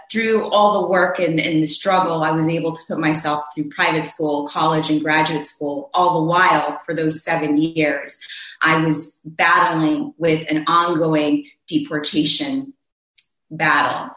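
A man's voice: on the high side, sharp tone, roomy sound, low-quality recording. A man speaking earnestly on a panel discussion, telling his own story, often restarting his phrases. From Zambia.

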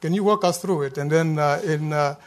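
A man's voice: a little high, fairly quick. A man moderating a panel, introducing the guests with many hesitant fillers.

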